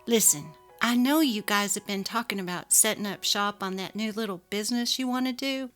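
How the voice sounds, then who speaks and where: Woman playing affectionate sister, familiar manner, mid-range pitch, dramatic story reading, soft music. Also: brisk, lightly acted. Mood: upbeat. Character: affectionate, upbeat adult sister.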